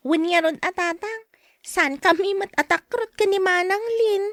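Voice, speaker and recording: A woman's voice: very high voice, a little slow, a bit bright, dry recording, studio quality. A woman playing a cheeky, mischievous young sister, pert and teasing, in an Ilocano radio drama.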